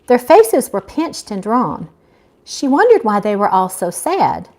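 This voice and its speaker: A middle-aged woman giving a live, informal reading of her own fiction, expressive and unpolished, as an amateur. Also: mid-range voice, measured pace. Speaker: author reading own novel aloud. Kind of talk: live amateur audiobook reading with casual asides.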